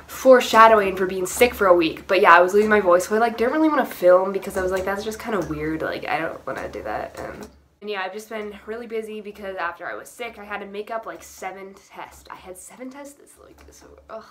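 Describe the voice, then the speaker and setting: A woman's voice: mid-range voice, animated, fast, a bit bright, a little hiss or hum. A teenage girl chatting casually to camera about books, rambling with lots of fillers and little laughs. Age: teens. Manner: rambling, filler-heavy, giggly. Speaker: book vlogger.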